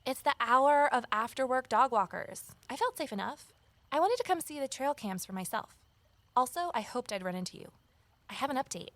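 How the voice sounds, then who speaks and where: An actress playing a wary, determined amateur sleuth in a naturalistic audio drama, conversational and gently wry. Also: female voice, high-pitched, expressive, normal speed, no room sound. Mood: earnest, concerned. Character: Evelyn, wary determined former journalist turned amateur sleuth. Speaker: lead character and first-person narrator.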